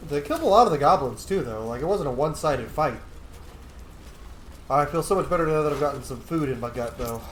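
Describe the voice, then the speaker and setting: A man's voice: fairly high pitch, expressive, a little fast. A man giving casual, joking commentary as he roleplays through a video game. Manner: informal, joking. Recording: roomy echo, faint game noise behind.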